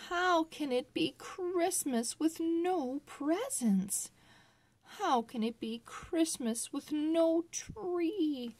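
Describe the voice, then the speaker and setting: Storybook little girl read by a woman: eager, wistful, emphatic, very slow, raised pitch. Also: lively intonation, darkish tone, full character voice.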